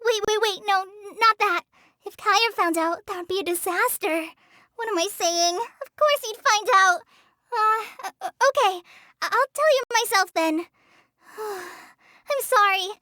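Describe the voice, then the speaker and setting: A woman's voice: extremely high pitch, dry recording, clean studio sound, exaggerated voice. A woman voicing a shy, earnest teenage girl in a video game, hesitant and apologetic in the way she talks. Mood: flustered. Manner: hesitant, apologetic, soft-hearted, halting with stammers. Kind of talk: video game character voice lines.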